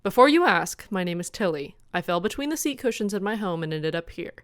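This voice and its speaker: A woman's voice: on the low side, big pitch swings, brisk, no echo, clean studio sound. A woman voicing a small, self-assured female lapdog who talks in a blunt, matter-of-fact way.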